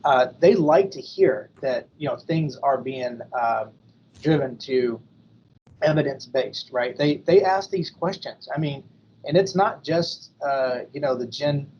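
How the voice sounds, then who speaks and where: A man on a video-call panel comes through a little dull, with a faint hiss of noise behind him. He talks in halting bursts, apologizing and restarting phrases before landing his point. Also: medium pitch, expressive, darkish tone. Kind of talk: virtual panel discussion.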